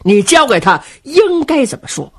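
A woman voicing a calm, steady male general, an elder sworn brother, speaking gently and in a measured way. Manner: gentle, measured, low-key.

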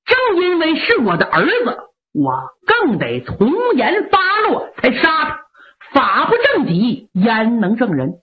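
A woman's voice: high voice, wildly swooping pitch, slow pace, full character voice. Figure: woman voicing a stern male marshal. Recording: lo-fi. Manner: firm, declaiming, at times tearful. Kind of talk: traditional storytelling performance. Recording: lo-fi narrow-band recording.

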